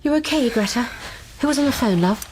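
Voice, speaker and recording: A woman's voice: on the high side, lively intonation, a little fast, slight background noise, natural voice, poor audio. A woman acting in a radio drama as a fretful, naive, well-meaning mother. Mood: concerned.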